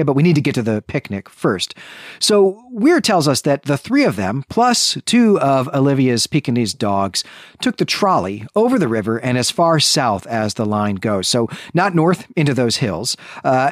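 A man's voice: mid-range voice, dry recording. Bookish man recapping fiction, very dry recording. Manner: bookish, discursive, lightly amused. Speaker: podcast co-host. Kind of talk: conversational literary discussion and recap.

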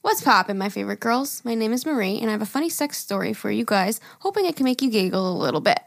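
A woman's voice: mid-range voice, big pitch swings, quick pace, gravelly. A young woman co-hosting a casual podcast, reading listener stories aloud and joking crudely with lots of giggling. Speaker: podcast co-host.

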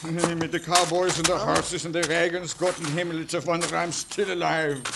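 A man's voice: on the high side, little pitch movement, moderate pace, creaky. An actor in a 1940s radio drama playing a fussy, flustered older German immigrant father who speaks broken English. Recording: noisy old radio broadcast.

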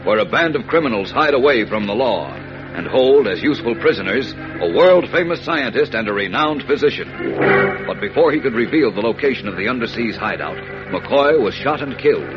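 A man's voice: medium pitch, expressive, brisk. Dramatic old-time radio announcer man over music. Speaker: announcer and narrator. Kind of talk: dramatic 1940s radio serial narration. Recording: muffled old radio recording. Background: prominent music.

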